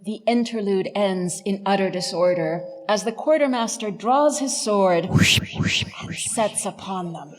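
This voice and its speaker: A woman giving a scripted lecture narration in a measured, articulate, emphatic manner. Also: medium pitch, in a large hall, noisy background.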